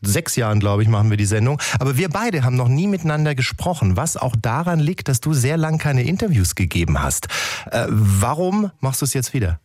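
A man's voice: medium pitch, very animated, fairly quick, a little mellow, dry recording, studio quality. A man hosting a radio music show and interviewing a guest in a friendly, conversational way.

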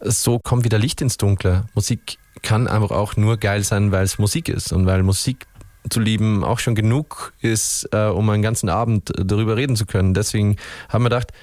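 Thoughtful Austrian man with a low voice. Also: steady tone, brisk, darkish tone, no echo. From Upper Austria. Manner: thoughtful, rambling, many restarts and fillers. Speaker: musician guest. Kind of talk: radio interview.

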